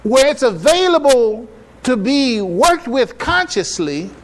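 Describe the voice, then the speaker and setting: A man giving a religious public address as an imam, teaching with emphatic rhetorical questions, repetitions and deliberate, halting phrasing. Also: very high voice, sing-song. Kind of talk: religious lecture, teaching to an audience.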